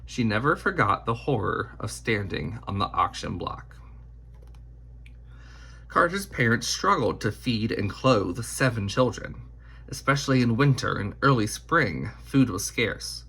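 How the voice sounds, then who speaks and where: A man reading a children's picture book aloud in deliberate, emphatic phrasing. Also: mid-range voice, lively intonation, sharp tone, slightly rough. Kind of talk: scripted storybook read-aloud.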